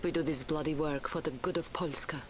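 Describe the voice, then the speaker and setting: An actress playing a determined Polish partisan commander in a military game, giving deliberate orders in a Polish accent. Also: female voice, deep voice, fairly even intonation, crowd noise, natural voice. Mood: resolute, commanding. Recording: battle noise behind the voice.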